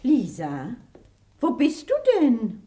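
An actress playing a domineering, sharp-witted old lady in a German radio play, precise and ironic. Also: a little high, sing-song, slow, noisy background. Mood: astonished. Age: older.

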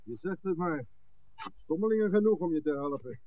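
A man's voice: a little high, expressive, moderate pace, natural voice. Guy acting bossy gang leader, curt n impatient, old radio play, thin phone-like sound w some hiss. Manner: commanding, curt. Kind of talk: 1960s Dutch radio drama acting.

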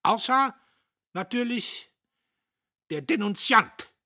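A man voicing a gruff, bullying retired army major who barks and thunders. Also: a little high, animated, normal speed, bright, forceful tone, no echo, studio quality, voice pushed up, full character voice. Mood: angry. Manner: barking, thundering.

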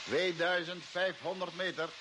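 A man's voice: high-pitched, measured pace. An actor playing an alert male spaceship crewman in a radio play, speaking in a terse, technical way. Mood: focused. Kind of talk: radio drama acting. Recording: noisy old radio play, heavy hiss.

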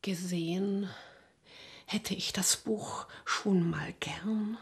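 An actress playing a calm, scheming, pious deaconess who is measured and often hushed, in a radio drama. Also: female voice, mid-range voice, gravelly. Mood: sly. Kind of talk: naturalistic radio drama acting.

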